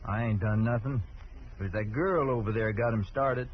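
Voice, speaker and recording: An actor playing a defiant, sullen ranch hand with a Western American accent in a 1950s radio drama. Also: male voice, on the low side, moderate pace, lightly acted. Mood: sullen, taunting. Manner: sullen, challenging. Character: surly, defiant ranch hand, troublemaking cowboy. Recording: dull, slightly noisy vintage radio recording.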